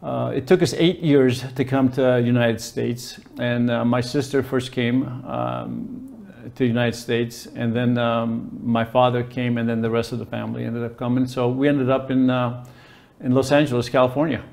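A man in his sixties from Lebanon, a hotel manager answering interview questions in a halting way with many fillers. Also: medium pitch, gravelly, a little mellow. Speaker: hotel general manager, guest. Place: indoor office with plants.

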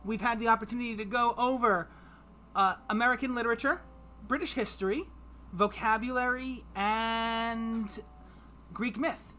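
A man's voice: very high-pitched, fairly even intonation, moderate pace, bright, forceful tone, a little hiss or hum. A man in his thirties hosting a livestreamed trivia quiz from home, chatty and upbeat as he reads clues aloud. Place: home streaming room.

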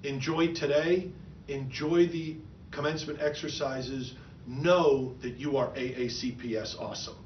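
A man's voice: mid-range voice, fairly even intonation, slight background noise. A middle-aged man giving a prepared, encouraging commencement address as a school superintendent, recorded in an office. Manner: earnest, encouraging, measured. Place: office with bookshelves, indoors. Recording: echoey, dull room sound from a distant mic.

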